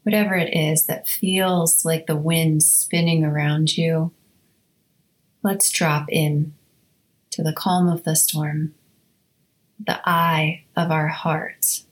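A woman hosting a guided meditation, speaking in a soothing, measured way with long pauses between short phrases. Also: deep voice, flat delivery, low-quality recording. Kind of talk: slow guided meditation narration. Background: instrumental music.

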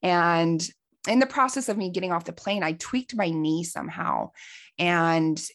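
A woman in her forties, a life coach hosting her podcast, telling a personal story in an earnest, heartfelt way, her voice a little hoarse from allergies. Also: lowish voice, measured pace. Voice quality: slightly raspy.

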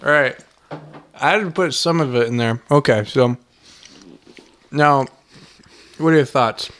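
A man's voice: mid-range voice, a little slow, a bit bright. A young man co-hosting a casual podcast, playful and teasing, often laughing. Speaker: podcast co-host. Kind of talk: casual chat.

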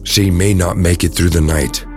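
A man's voice: low-pitched, fairly even intonation, normal speed, a little mellow, soft background music. A man giving scripted dramatic narration for an audio drama.

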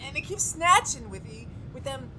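Scared simple lab helper for radio horror, stammery, high voice swinging all over, faint rumble behind. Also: female voice, expressive, normal speed, bright, forceful tone, exaggerated voice. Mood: distressed, urgent. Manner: stammering, ungrammatical, folksy. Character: frightened, simple, uneducated laboratory assistant, jittery and pleading. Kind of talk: old-time radio horror acting.

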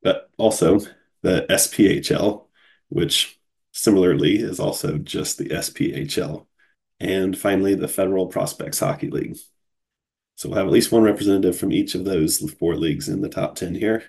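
A man's voice: low voice, moderate pace, creaky, darkish tone, husky. A man from Cincinnati hosting a solo podcast in a casual, chatty way, sounding groggy from a cold. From Cincinnati, USA. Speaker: podcast host.

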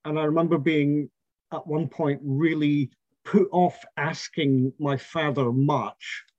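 A middle-aged man recalls his family's past in an oral history interview. He speaks in a measured, reflective way, with fillers and restarts. The recording is dry and a little dull and lo-fi. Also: on the high side, fairly even intonation, a little mellow, dry recording. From Scotland.

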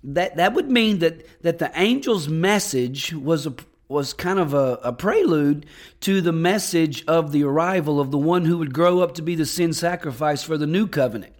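A man preaching as pastor on a podcast, hoarse and raspy from losing his voice, talking in a folksy, enthusiastic way with frequent restarts. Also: fairly high pitch, normal speed. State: hoarse, lost voice. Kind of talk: podcast sermon.

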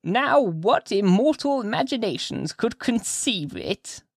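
A narrator voicing a sly, sinister old gentlewoman witch from a classic story, shrill and theatrical, with drawn-out archaic phrases. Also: very animated, creaky, no room sound, studio quality, full character voice. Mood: sly, insinuating. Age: older. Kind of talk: dramatised audiobook character reading.

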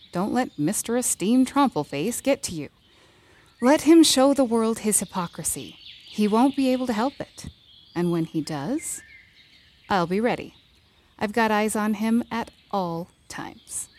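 An actress playing a brash, protective lawyer in a fiction podcast drama, blunt and commanding with slangy confidence. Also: female voice, medium pitch, animated, measured pace, a little mellow, slight background noise, close to an everyday voice. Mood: confident, wry.

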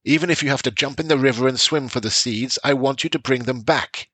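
A man's voice: mid-range voice, brisk, fairly bright tone, breathy edge, no echo, pitched above the performer's own voice. A man voicing a stern village elder who gives orders.

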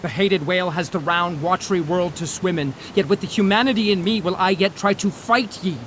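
A dutiful, conflicted first mate in a seafaring audio drama. His voice is high for a man, earnest and anguished, with archaic wording. A clear rumbling ship noise lies behind it. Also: fairly quick, a bit bright. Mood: tormented. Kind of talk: theatrical audio drama acting.

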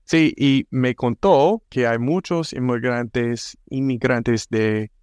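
A man's voice: medium pitch, takes it slowly, darkish tone, clear, resonant tone, dry recording, clean studio sound. A man from the United States with an American accent, a native English speaker hosting a casual podcast and speaking hesitantly, with frequent restarts and fillers. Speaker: podcast host.

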